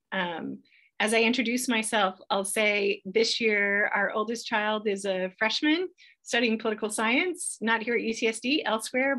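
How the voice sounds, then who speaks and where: A middle-aged American woman with a slightly gravelly voice, a professor giving an informal welcome presentation to an online audience. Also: medium pitch, pushed and bright. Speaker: professor hosting a welcome webinar. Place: online webinar.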